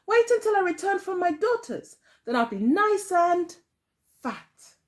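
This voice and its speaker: A woman's voice: extremely high pitch, sing-song, a little slow, pitched above the performer's own voice, exaggerated voice. A woman voicing an anxious old mother in a children's tale, pleading in a plaintive sing-song.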